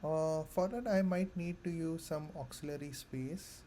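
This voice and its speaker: A man thinking aloud haltingly as a candidate in a mock coding interview. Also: fairly high pitch, mellow, slight background noise. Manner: hesitant, halting.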